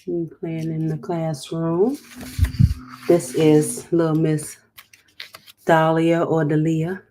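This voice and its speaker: A woman's voice: sits low, flat delivery, takes it slowly, background noise. A woman hosting a storytime, reading a picture book aloud with chatty, encouraging, emphatic asides.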